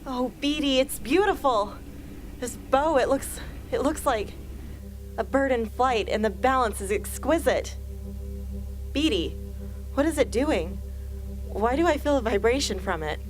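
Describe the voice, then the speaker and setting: A woman's voice: sits high, expressive, a little slow, long pauses, soft background music, rough recording. Guarded, blunt teenage girl archer, played by a woman. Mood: awed. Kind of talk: amateur radio drama acting. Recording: faint background noise.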